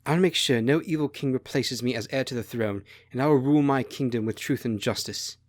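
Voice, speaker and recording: A man's voice: mid-range voice, brisk, dry recording, clean studio sound, close to an everyday voice. Man voicing an earnest teenage princess. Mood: proud. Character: idealistic, naive sixteen-year-old princess, heir to throne.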